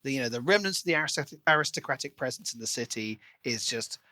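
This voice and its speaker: A man co-hosting a podcast, chatting casually and enthusiastically about a book in an expressive way. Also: medium pitch, quick pace, dry recording. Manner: enthusiastic, expressive, acts out quoted lines. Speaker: podcast co-host.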